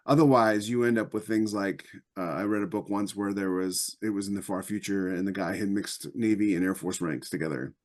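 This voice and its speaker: A man talking casually as a podcast panelist, telling anecdotes and giving opinions in a halting way. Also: on the low side, steady tone, a little mellow. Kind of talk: casual discussion.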